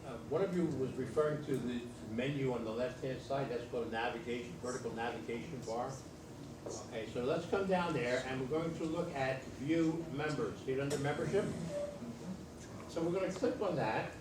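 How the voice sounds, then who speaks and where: Loud-voiced man teaching a workshop, echoey room. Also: medium pitch, a little fast. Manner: loud, repetitive, plain-spoken instructor. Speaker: workshop presenter. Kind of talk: training workshop presentation. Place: meeting room with an audience. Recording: echoey room, distant mic, noisy.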